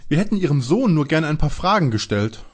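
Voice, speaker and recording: A man's voice: fairly high pitch, sing-song, fairly quick, husky. A man playing a timid, anxious schoolboy detective in a parody detective audio play. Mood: matter-of-fact.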